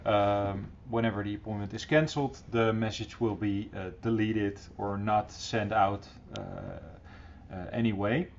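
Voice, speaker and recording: A middle-aged man presenting an informal, encouraging software tutorial in a casual style, recorded on a computer microphone. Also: lowish voice, steady tone, measured pace, gravelly. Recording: dull, slightly noisy computer recording.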